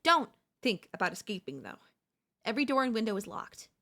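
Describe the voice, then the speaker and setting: A woman playing an obsessive female pop idol turned kidnapper in an ASMR roleplay, speaking in a soft, coaxing, doting way with menace underneath. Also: on the high side, very animated, fairly quick, creaky, exaggerated voice. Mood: calm, menacing.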